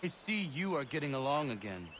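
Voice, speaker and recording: An actor playing a calm, earnest young male assassin in a video game, with measured, formal speech. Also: on the high side, noisy background. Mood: mildly warm. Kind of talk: video game cutscene acting.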